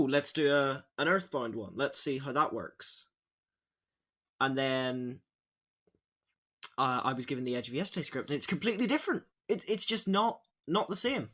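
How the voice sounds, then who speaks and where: A man's voice: medium pitch, bright, forceful tone. An Irish man, an audio drama actor, chatting casually and effusively, often stammering and restarting his phrases. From Ireland. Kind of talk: casual behind-the-scenes chat.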